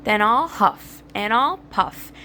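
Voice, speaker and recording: A woman's voice: medium pitch, big pitch swings, slow, pushed and bright, a little hiss or hum, heavily acted. Woman voicing a blustering big bad storybook wolf. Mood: roaring threat. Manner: roaring threats, drawn out for effect.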